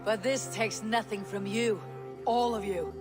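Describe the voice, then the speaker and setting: A woman voicing a confident Viking clan leader who speaks in a measured, declamatory way. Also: mid-range voice, fairly even intonation, close to an everyday voice. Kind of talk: video game cutscene acting. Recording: reverberant mix with music.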